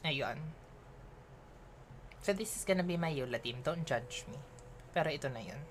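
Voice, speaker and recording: Lively Filipino woman chatting while streaming. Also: extremely low pitch, normal speed, a little hiss or hum. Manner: chatty, switching between Tagalog and English mid-sentence; giggly, thinks aloud while playing. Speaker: game streamer. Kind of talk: casual live-stream game commentary.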